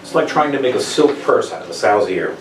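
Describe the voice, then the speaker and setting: A man's voice: on the low side, animated, brisk, lightly acted. A man playing an evasive, placating captive scientist who explains himself, in an amateur table read. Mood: placating, stalling. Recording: echoey room, distant mic, noisy background.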